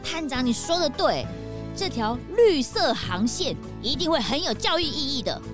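Squeaky, excitable young sidekick. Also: female voice, normal speed, over music. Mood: agreeing. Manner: exclamatory, dramatic. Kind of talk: children's detective radio drama acting.

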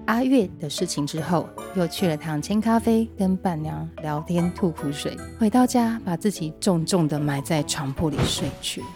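A woman's voice: on the low side, normal speed, few pauses. A woman reading scripted story narration for a comedic audio drama. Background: music.

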